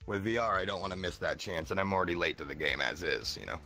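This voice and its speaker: A man in his twenties, a streamer guest in a casual podcast chat, talking in an animated way and swearing now and then. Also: quick pace, vocal fry, faint music behind. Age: 20s. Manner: animated, swearing, jumps into high mock voices.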